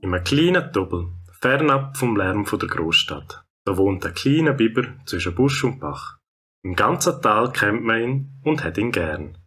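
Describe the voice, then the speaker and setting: A man with a Swiss German accent narrating a children's story in an expressive storytelling way. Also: on the low side, moderate pace, faint music behind.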